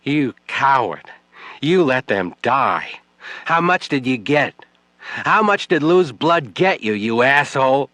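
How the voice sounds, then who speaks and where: A man's voice: mid-range voice, sing-song, slow, pushed and bright, no echo, voice pushed up, exaggerated voice. A man reading an audiobook, voicing a drunk, grief-stricken young widow who slurs and shrieks her accusations. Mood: furious. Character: drunk grieving young widow, furious, slurring.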